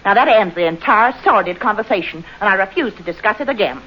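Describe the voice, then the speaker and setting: An actress playing a bitter, suspicious wife, scolding and sarcastic, in mid-century radio drama. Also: female voice, medium pitch, expressive, fast talker, lo-fi sound, over loud music, lightly acted. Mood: bitter, defiant. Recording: faintly noisy vintage radio recording.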